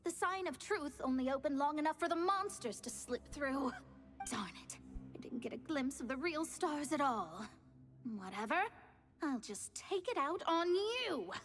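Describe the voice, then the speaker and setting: A woman's voice: high voice, expressive, measured pace. A woman voicing a proud, irritable young astrologer mage in a video game, with a haughty, theatrical delivery. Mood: irritated. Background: game music.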